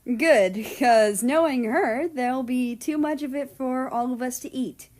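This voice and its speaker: A woman's voice: high-pitched, unhurried, darkish tone, voice pushed up. Woman voicing a teasing, affectionate younger brother. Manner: folksy, ends phrases with eh.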